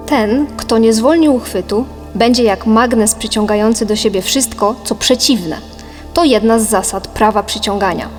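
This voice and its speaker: A woman reading a scripted philosophical audiobook in a measured, didactic manner. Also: on the high side, lightly acted. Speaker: audiobook narrator. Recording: long reverb, music behind. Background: music.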